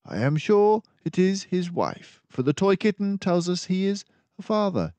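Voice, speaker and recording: A man's voice: high voice, sing-song, moderate pace, dark tone, no room sound, voice pushed up. A man voicing a self-assured mouse detective from a storybook, formal and precise as he explains. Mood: confident, explaining. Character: confident, precise male mouse detective, lecturing and brisk.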